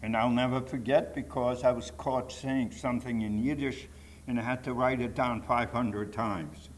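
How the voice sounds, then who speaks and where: A man's voice: mid-range voice, a little hiss or hum. A man in his nineties from Poland with a Polish accent, telling his life story to an audience in an emphatic, digressive way with rhetorical asides. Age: 90s. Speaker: Holocaust survivor giving testimony. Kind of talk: personal testimony to a live audience. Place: public talk to an audience.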